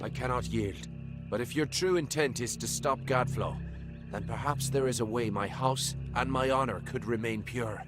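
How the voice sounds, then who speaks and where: An actor playing a proud immortal fae knight lord in a fantasy video game, grave, formal and stately. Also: male voice, mid-range voice, moderate pace, music in the background, lightly acted. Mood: resolute.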